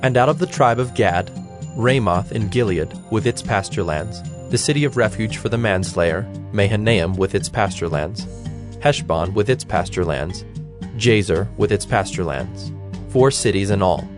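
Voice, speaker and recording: A man giving a measured, formal narration of scripture as the narrator of a dramatized audio production. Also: lowish voice, lively intonation. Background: instrumental music with plucked strings.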